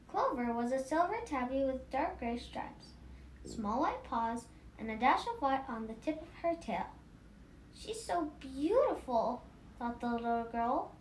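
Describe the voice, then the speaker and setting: A woman reading a children's story aloud in an expressive, animated manner. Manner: animated, acts out dialogue. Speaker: storybook reader for a children's book club. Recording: roomy echo, faint rumbling noise.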